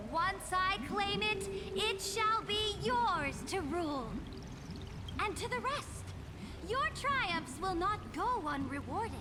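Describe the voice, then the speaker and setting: A woman's voice: very high-pitched, exaggerated voice. A woman voicing an imperious monarch in a video game, giving grand, commanding oratory to rally subjects to conquest. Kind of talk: scripted game voice acting.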